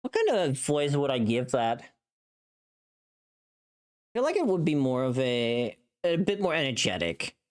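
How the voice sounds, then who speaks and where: A streamer of mid-range pitch chatting casually and muttering to themselves while working, often repeating words. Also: wildly swooping pitch, unhurried, pauses often.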